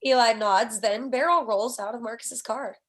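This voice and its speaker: A woman's voice: on the high side, normal speed. A woman reading stage directions as scripted narration at a screenplay table read.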